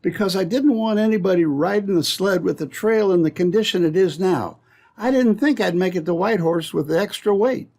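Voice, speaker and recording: A man's voice: high voice, brisk, a little mellow. A man playing a defensive young sled driver in an old-time radio drama, flustered, indignant and protesting. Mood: guarded.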